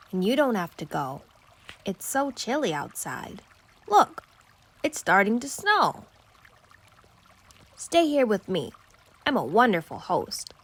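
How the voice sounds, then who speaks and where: A woman's voice: mid-range voice, a bit bright, husky, full character voice. A woman voicing a kind, earnest child in a children's storybook, speaking eagerly and emphatically. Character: kind, earnest child narrator pleading for a friend.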